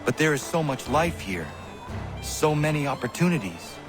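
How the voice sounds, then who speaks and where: An actor voicing an earnest, determined young warrior in a video game, speaking in measured, formal phrases. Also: male voice, a little high, big pitch swings, over music. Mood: awed. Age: young adult. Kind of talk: video game voice acting, dialogue and narration.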